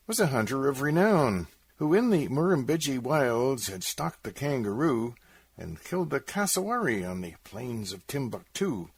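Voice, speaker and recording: A man with a theatrical, expressive delivery, introducing and reciting comic narrative verse as a radio host. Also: medium pitch, breathy edge, dry recording.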